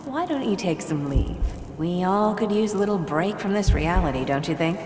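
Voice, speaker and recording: An actress playing a tender, loving wife in a video game memory, speaking affectionately through a dreamy reverb. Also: female voice, lowish voice, animated, moderate pace, darkish tone, slight background noise. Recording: long echoing reverb under music.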